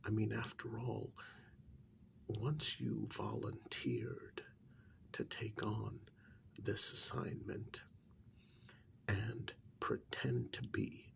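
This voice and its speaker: A man playing a stern, disappointed senior agent of a secret government agency in a soft-spoken ASMR role-play, breathy and gravelly, with a deliberate, formal, condescending manner. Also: on the low side, heavily acted. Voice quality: breathy, half-whispered, gravelly.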